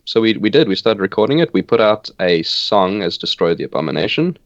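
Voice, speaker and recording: South african guy in his 20s, afrikaans first language, low voice, dry dull sound, chuckles a lot. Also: brisk, no room sound. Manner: relaxed, laughs often, hesitant restarts. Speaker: band bassist. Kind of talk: casual interview chat.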